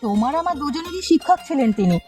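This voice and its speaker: A woman's voice: high voice, lively intonation, quick pace, soft background music. A woman voicing a kind, cheerful village wife in a cartoon, who speaks in a reassuring way. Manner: warm-hearted, reassuring. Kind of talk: cartoon voice acting.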